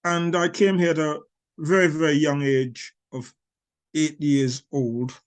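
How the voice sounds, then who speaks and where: A man's voice: on the high side, steady tone, unhurried. A man in his sixties, born in Barbados, answering interview questions as an author, rambling with many restarts and small chuckles. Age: 60s.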